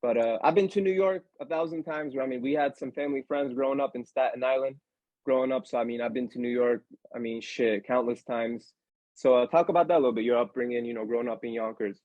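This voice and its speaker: A young man hosts a casual podcast in a relaxed, slangy way and hypes up his guest. His mid-range voice comes through a dull, dry, lo-fi mic. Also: mid-range voice, quick pace, no room sound. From Allentown, Pennsylvania, USA. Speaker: podcast host.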